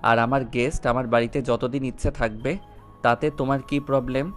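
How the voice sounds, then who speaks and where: A male actor as a wealthy young man in an audio love story. He is commanding and protective, with a firm, cutting delivery at a middling pitch. Music plays behind him. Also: fairly even intonation, moderate pace, natural voice. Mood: angry, defiant. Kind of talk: Bengali audio story acting.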